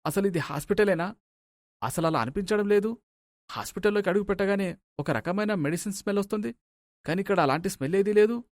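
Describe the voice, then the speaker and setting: A man's voice: high voice, fast, pauses often, dry recording, studio quality, lightly acted. A man voicing a suspicious, threatening gangster in a dramatic story, urgent and menacing. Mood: wary, questioning. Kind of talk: dramatic moral-story voice acting.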